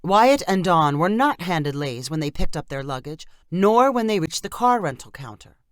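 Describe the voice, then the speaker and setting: Woman narrating a short story, low voice. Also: big pitch swings, moderate pace, no room sound, clean studio sound. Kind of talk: scripted short-story narration for English learners.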